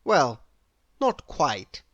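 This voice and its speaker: A woman narrating a children's picture book aloud in an expressive, deliberate, theatrical storytelling manner. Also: very deep voice, dry recording, lo-fi sound. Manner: deliberate, theatrical, with playful exclamations.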